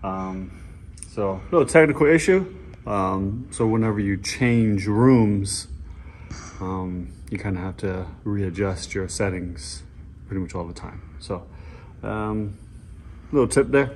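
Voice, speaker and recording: A man's voice: lowish voice, takes it slowly, a little mellow, a little hiss or hum. A man in his thirties explaining things casually and off the cuff as a videographer. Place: large indoor church hall.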